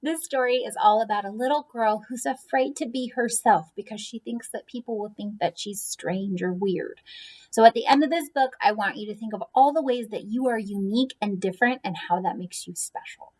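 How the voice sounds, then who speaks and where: A woman reading a picture book aloud for children in an expressive storytelling style. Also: on the high side, fairly quick.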